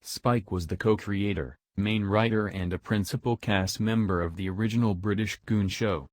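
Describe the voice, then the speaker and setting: A man giving measured, informative scripted narration. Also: fairly low pitch, fairly even intonation, no echo, clean studio sound. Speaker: documentary narrator.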